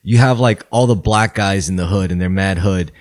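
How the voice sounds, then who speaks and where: A man's voice: deep voice, moderate pace, darkish tone. A man with a New York accent, co-hosting a podcast in rambling, profane casual chat. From New York, USA. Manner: rambling, profane, laughs often. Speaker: podcast co-host.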